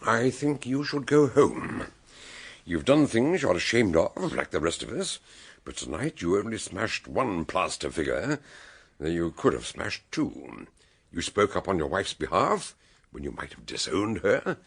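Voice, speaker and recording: Eccentric British sleuth, radio drama: deep, husky, blustering and wry. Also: male voice, sing-song, unhurried, full character voice. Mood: solemn, kindly. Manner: theatrical, hesitant bumbling mixed with wry pronouncements. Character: eccentric, jovial, blustering male amateur detective and doctor. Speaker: detective character in radio drama. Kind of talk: BBC radio drama acting, dialogue and narration.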